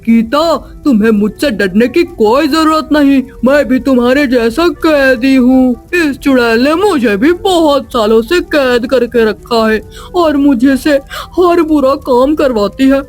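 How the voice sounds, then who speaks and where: A performer voicing a concerned, earnest character in an animated children's story. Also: high voice, a little slow, soft background music. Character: worried grandfather, and a captive little genie.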